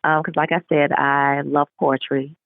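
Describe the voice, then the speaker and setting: Over a narrow, phone-like connection, a woman author chats casually in an interview. Her voice sits at a low-to-middle pitch for a woman, with little room sound. She talks in an animated way, slipping into little re-enactments of what people say. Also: unhurried, gravelly, no echo. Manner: animated, acts out remembered remarks. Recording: narrow phone-like line, radio-show sound.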